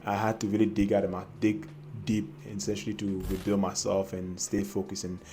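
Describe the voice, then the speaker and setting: Soft-spoken man with a mellow voice. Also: lowish voice. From Cameroon. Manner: hesitant, many fillers and restarts. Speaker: trading vlogger. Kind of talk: casual personal storytelling to camera.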